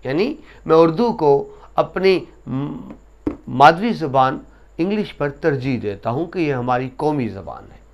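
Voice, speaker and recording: A man's voice: fairly high pitch, animated, vocal fry. Man teaching, reciting verse with emphasis. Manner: recites couplets slowly with emphasis. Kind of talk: lecture on essay writing.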